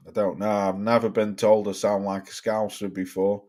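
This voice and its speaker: Young Cheshire lad, Stoke-tinged accent, blunt and sweary livestream host chatting with viewers. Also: male voice, lowish voice, little pitch movement, normal speed, a little mellow. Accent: Cheshire English mixed with Stoke. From Cheshire, England. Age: young adult. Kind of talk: casual live chat reading viewer comments.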